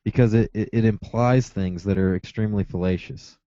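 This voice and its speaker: A man's voice: fairly low pitch, steady tone, a little fast, dark tone, no echo, dull audio. A man arguing in a heated debate, insistent, with frequent stammering restarts. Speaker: atheist caller.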